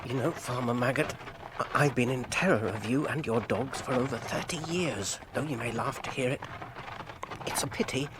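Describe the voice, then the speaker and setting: An actor playing an earnest, anxious, well-bred gentleman hobbit in a radio drama, polite and hesitant in his phrasing. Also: medium pitch, moderate pace, noisy background, natural voice.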